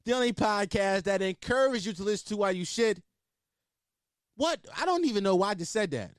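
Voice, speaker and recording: Lively Philly-accented podcast host, a man. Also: sits high, a little fast, smooth, dry recording, clean studio sound. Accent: Philadelphia. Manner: rambling and profane, full of filler words, laughs at his own jokes. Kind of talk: casual solo podcast chat.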